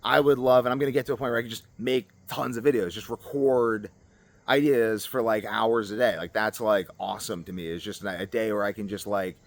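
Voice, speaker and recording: A man's voice: fairly low pitch, steady tone, fairly quick, dry recording. A man vlogger talking off the cuff in a casual monologue, rambling as he thinks aloud. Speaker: YouTube vlogger.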